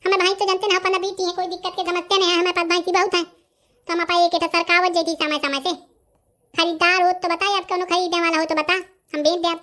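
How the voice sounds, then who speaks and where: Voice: extremely high pitch, flat delivery, brisk, pushed and bright. An actor with a Bundelkhandi accent voicing a chatty, stubborn young villager in a comedy cartoon. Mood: chatty, insistent.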